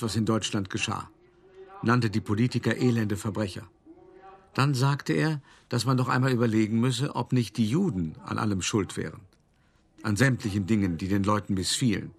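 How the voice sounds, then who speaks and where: An actor playing an older, cultivated art dealer who recounts his past in a measured radio play monologue with dramatic pauses. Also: medium pitch, close to an everyday voice.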